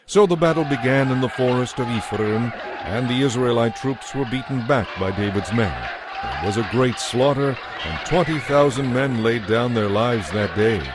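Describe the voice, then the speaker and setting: A man narrating a dramatized audiobook in a measured, solemn storytelling manner. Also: mid-range voice, expressive, background noise. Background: faint music.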